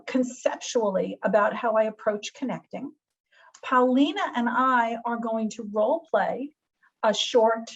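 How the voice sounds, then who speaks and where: A woman hosting a webinar over a video call, talking in a chatty, enthusiastic and self-deprecating way. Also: a little high. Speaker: webinar host. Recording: dull lo-fi video call audio.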